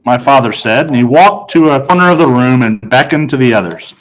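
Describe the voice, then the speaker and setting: A man's voice: medium pitch, brisk, rough recording. A man reading a children's novel aloud as scripted first-person narration. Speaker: story reader.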